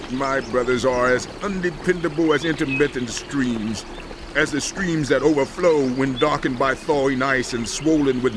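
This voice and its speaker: A man playing anguished, lamenting Job, highish voice. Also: noisy background, close to an everyday voice. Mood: bitter, disappointed. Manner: impassioned, lamenting. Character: Job, anguished suffering biblical man, bitterly protesting. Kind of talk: dramatized scripture reading.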